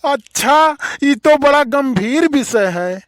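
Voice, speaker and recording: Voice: high voice, animated, takes it slowly, dry recording, clean studio sound. A performer voicing a nosy, gullible village bachelor in a comedy cartoon, drawling inquisitively in an Awadhi accent. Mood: curious.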